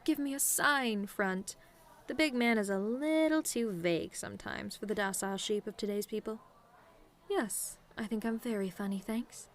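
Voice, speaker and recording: A woman playing a cocky, sarcastic tomboy demon with a casual, teasing delivery in an audio roleplay. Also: fairly high pitch. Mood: smug teasing. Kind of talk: ASMR-style scripted roleplay monologue.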